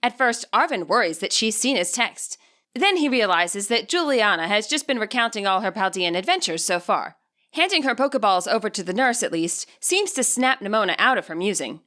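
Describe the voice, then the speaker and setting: A woman reading scripted present-tense fiction as an audiobook narrator, with an expressive storyteller delivery. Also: mid-range voice, brisk.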